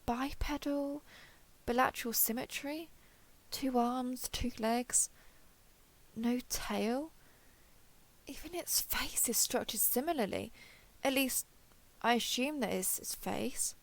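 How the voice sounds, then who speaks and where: A woman's voice: a little high, slow, long pauses. A woman playing a tough, cocky alien explorer and starship captain, wry and gritty, recording a log in an audio drama monologue. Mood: stunned. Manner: wry, gritty, self-talking, mildly profane.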